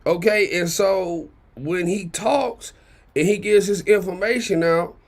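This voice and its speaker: Raspy, gravelly male commentator. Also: a little slow. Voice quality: raspy baritone. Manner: blunt, profane, repeats points with "okay?". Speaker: commentary channel host. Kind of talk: casual commentary rant.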